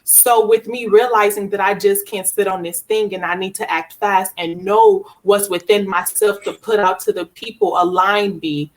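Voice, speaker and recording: A woman's voice: mid-range voice, steady tone, moderate pace, hardly any pauses, rough recording. A woman author being interviewed, talking in an earnest, motivational way about faith and circling back over key words for emphasis. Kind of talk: conversational, motivational faith talk.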